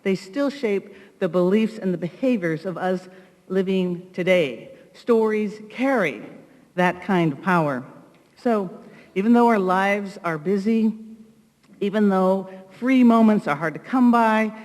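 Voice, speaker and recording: Slow, solemn woman in a reverberant hall. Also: medium pitch, expressive, a little mellow. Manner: solemn, deliberate, with dramatic pauses. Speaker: congregation leader introducing a speaker. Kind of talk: prepared ceremonial welcome address. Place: large hall, live ceremony.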